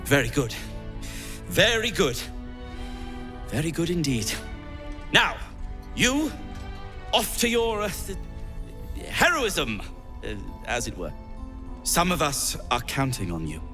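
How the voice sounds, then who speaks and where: A man playing a fussy, excitable, dithering inventor in a video game, with florid, old-fashioned wording. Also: on the high side, slow pace, long pauses, over music, heavily acted. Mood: awkward encouragement. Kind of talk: video game character acting.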